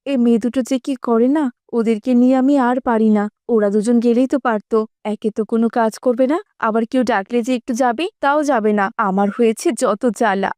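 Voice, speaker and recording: A woman's voice: a little high, fairly quick, darkish tone, clear, resonant tone, no room sound, studio quality, close to an everyday voice. A woman voicing a dutiful, overworked eldest daughter-in-law in a family cartoon, fretting in a plaintive way. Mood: fretful, weary. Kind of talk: Bengali family cartoon voice acting.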